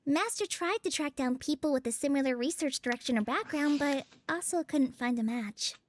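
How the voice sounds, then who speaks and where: A woman's voice: high-pitched, animated, normal speed, a little hiss or hum. A woman voicing a polite, eager young female forest apprentice in a game. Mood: explaining. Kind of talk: game voice acting.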